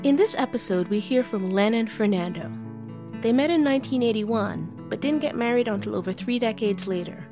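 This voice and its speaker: A woman narrating a scripted podcast introduction in a clear, measured way. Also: on the high side, lively intonation, music in the background. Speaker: podcast host.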